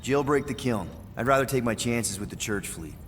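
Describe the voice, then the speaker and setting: An actor playing a brash, scrappy leader of a space-outlaw crew in a video game. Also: male voice, medium pitch, animated, moderate pace, slight background noise, lightly acted. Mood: urgent, wry. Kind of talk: cinematic video game acting.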